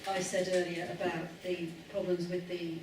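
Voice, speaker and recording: A woman's voice: fairly low pitch, completely flat delivery, moderate pace. A woman speaking up at a public meeting, hesitant with many fillers. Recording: echoey hall with background noise, far off.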